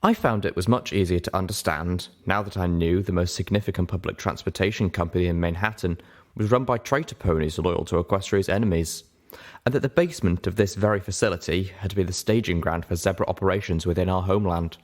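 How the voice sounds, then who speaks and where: Very deep-voiced man narrating a story. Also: brisk, a little mellow. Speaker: audiobook narrator. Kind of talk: scripted story narration in first person.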